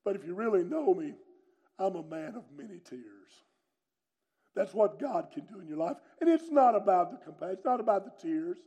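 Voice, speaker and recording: A middle-aged man, preaching a sermon with personal testimony in a loud, booming, strong delivery. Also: lively intonation, brisk, breathy edge. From North Carolina, USA. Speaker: pastor.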